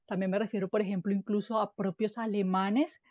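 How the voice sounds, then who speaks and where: A woman from Colombia, a guest from a nonprofit, answering interview questions in a measured, explanatory way with fillers and restarts. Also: mid-range voice, flat delivery, a little mellow, no echo, muffled recording. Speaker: guest from an NGO.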